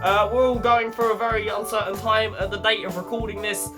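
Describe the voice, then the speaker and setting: A young man with a blocked, croaky voice from a head cold, talking bluntly and chattily as a self-help vlog host. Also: high voice, little pitch movement, fairly quick, over music. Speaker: channel host.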